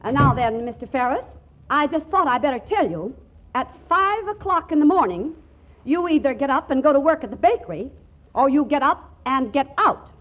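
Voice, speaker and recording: A woman's voice: sits high, expressive, normal speed, fairly bright tone. An actress playing a stern, bossy woman of the house in 1940s radio comedy, prim and commanding. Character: stern, bossy female head of household laying down law. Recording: dull 1940s radio recording.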